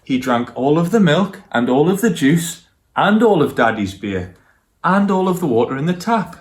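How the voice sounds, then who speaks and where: A man's voice: on the high side, big pitch swings, normal speed. A man reading stories for young children and speaking to them directly as a guest storyteller.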